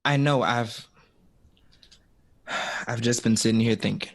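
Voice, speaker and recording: A young musician who is polite but hesitant and evasive. The voice sits at an ordinary middle pitch, in period audio drama style. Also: male voice, unhurried. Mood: evasive, subdued. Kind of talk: period radio drama acting.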